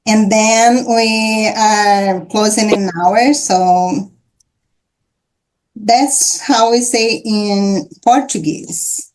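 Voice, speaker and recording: A woman's voice: medium pitch, steady tone, very slow, darkish tone, low-quality recording. A woman hosting a casual interview, speaking in an encouraging way. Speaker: interview host. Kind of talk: casual conversation.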